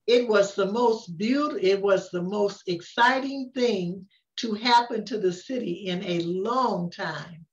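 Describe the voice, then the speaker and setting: Unhurried older woman reading a storybook, slightly dull. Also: mid-range voice. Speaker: story reader. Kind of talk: picture-book read-aloud with casual asides.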